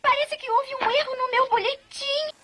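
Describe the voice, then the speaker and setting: A woman's voice: very high-pitched, fairly even intonation, normal speed, a bit bright. A Brazilian woman dubbing an anxious, perfectionist little schoolgirl in a cartoon, on a noisy old clip with clicks. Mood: distressed, pleading. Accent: Brazilian Portuguese. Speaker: voice actress, dubbing.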